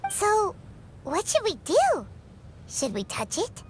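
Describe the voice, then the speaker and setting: A woman voicing a small, childlike, excitable fairy companion with a squeaky voice in a video game. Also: slow pace, a little hiss or hum, heavily acted. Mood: curious. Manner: excitable, refers to herself by name. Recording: music behind the voice.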